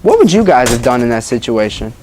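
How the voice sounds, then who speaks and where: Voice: medium pitch, sing-song, fast, darkish tone, a little hiss or hum. A teacher reading a story aloud expressively to children, stopping to ask them questions in an informal, engaging way. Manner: engaging, informal, addresses listeners as guys. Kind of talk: expressive read-aloud with questions to young listeners.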